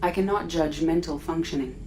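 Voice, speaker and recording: A woman voicing a calm, precise female ship computer in a video game, measured, formal and impassive. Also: sits low, recorded from a distance, slight background noise, natural voice, poor audio. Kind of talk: video game character acting.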